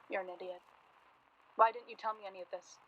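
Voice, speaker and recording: A woman playing a worried yet tender, teasing 1940s journalist in a naturalistic radio play, with a husky voice. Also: medium pitch, steady tone, fast, pushed and bright, slight background noise, lightly acted. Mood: anxious. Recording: dull lo-fi recording.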